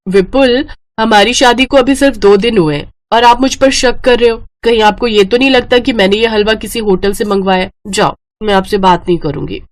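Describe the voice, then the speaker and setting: A woman voicing a sweet, eager young bride in a story, with an expressive, animated delivery. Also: fairly high pitch, brisk, natural voice. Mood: offended.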